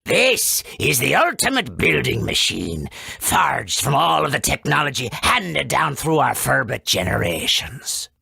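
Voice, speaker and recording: A man voicing a proud, helpful, folksy forest creature in a video game, with a raspy, creaky voice. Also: mid-range voice, sing-song, measured pace, pushed and bright, no room sound, studio quality, heavily acted. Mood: proud, explanatory. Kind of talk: video game character acting.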